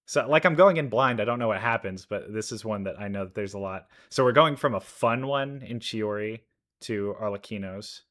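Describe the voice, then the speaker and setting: A man giving casual reaction commentary, rambling and thinking out loud as he goes. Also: on the low side, normal speed. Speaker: reaction video host. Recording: game music playing behind. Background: game trailer music.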